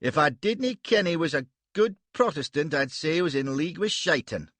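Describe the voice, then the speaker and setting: A man voicing a burly male warrior with a Scottish accent and a gruff, growling manner. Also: a little high, expressive, normal speed, a bit bright, no room sound, pitched above the performer's own voice.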